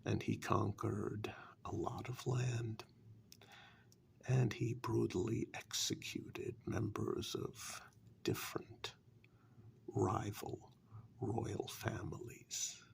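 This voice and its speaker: A condescending warlock, acted out by a man speaks softly and very slowly in a low voice full of heavy creak. He sounds taunting and deliberate, as in a dark fairytale ASMR role-play. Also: exaggerated voice. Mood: mocking, accusing. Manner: slow, deliberate, taunting with rhetorical questions. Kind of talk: soft-spoken ASMR role-play monologue.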